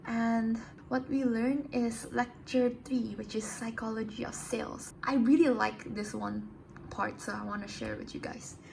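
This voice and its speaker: A woman's voice: on the high side, normal speed, creaky, rough recording. Chatty young woman vlogging, light noise. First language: English. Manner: chatty, filler-heavy explaining. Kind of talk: casual vlog diary.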